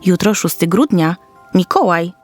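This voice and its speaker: A woman's voice: fairly low pitch, expressive, a little slow, faint music behind. A woman playing a kindly, soothing orphanage caretaker in a children's audio fairy tale. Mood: comforting.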